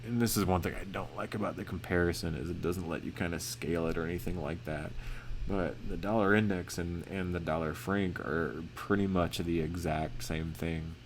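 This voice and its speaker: Male trading educator, deep voice, flat and casual delivery, light noise behind. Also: moderate pace. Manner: monotone, many fillers and restarts. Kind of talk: casual screen-share chart analysis.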